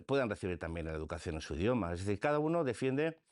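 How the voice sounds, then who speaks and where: Low-voiced man arguing with lively pitch. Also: quick pace, dry recording, studio quality. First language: Spanish. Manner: repetitive restarts, emphatic rhetorical questions. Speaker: interviewed politician. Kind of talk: political radio interview.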